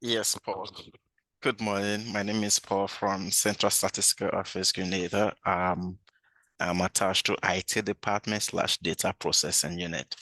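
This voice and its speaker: A man's voice: deep voice, level tone, moderate pace, sharp tone, no room sound, rough recording. A man from an IT department giving a brief, matter-of-fact introduction on a video call. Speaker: IT staff.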